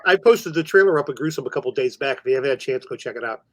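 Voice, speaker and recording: A man's voice: medium pitch, lively intonation, very fast, dry recording. A man co-hosting a horror news podcast, chatting casually about films with fellow hosts.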